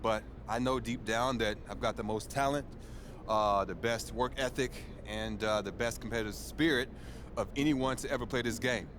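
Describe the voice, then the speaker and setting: A man's voice: mid-range voice, moderate pace, natural voice. A man playing a confident, easygoing champion basketball star, answering reporters in a casual, colloquial way. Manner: relaxed, colloquial, chuckling, self-assured. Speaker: pro athlete at a press conference. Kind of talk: casual press-conference answers. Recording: noisy outdoor crowd, street ambience.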